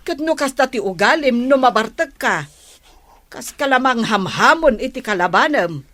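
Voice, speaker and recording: A woman's voice: on the high side, expressive, moderate pace, fairly bright tone, no echo. A woman with an Ilocano accent playing a nagging, plain-spoken village wife in a radio comedy drama. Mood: scolding.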